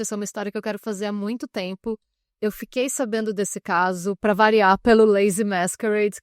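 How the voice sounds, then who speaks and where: A woman's voice: mid-range voice, dry recording, clean studio sound. Chatty woman co-hosting a podcast. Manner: chatty, relaxed, wanders into asides. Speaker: podcast co-host. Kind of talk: casual storytelling chat.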